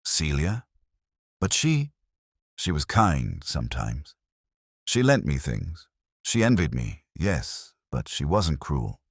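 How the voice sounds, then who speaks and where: A man's voice: rumbling low, sing-song, takes it slowly, creaky, breathy edge, dry recording, clean studio sound, heavily acted. A man voicing a shaken, trusting young actress with a hesitant, pleading manner, in an audiobook. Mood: hurt disbelief. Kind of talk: audiobook character dialogue.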